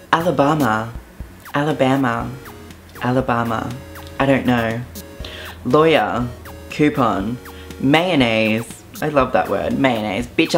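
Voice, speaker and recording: A young man from Southeast Queensland with an Australian accent, talking casually to camera with an offhand, relaxed manner and frequent ums. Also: medium pitch, vocal fry. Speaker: vlogger. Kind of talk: casual vlog monologue.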